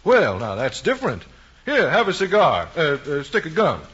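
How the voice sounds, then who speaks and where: Genial small-town American bank president: fatherly, folksy, lively intonation, old-time drama on a lo-fi recording. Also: fairly high pitch, moderate pace, breathy edge, heavily acted. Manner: folksy, avuncular, hesitating. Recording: dull, lo-fi vintage recording.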